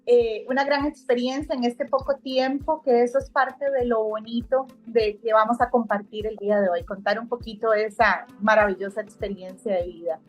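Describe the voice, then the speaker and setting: A woman from Costa Rica in middle age talks as an interview guest with a fairly high voice. She speaks in an effusive way and often restarts her phrases. Soft music plays faintly under her. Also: steady tone, normal speed, few pauses. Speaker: guest realtor. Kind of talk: casual interview chat.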